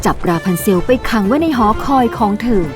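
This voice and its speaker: A woman's voice: mid-range voice, animated, a little fast, over music. A woman giving scripted narration of a fairy tale for children, as a storyteller.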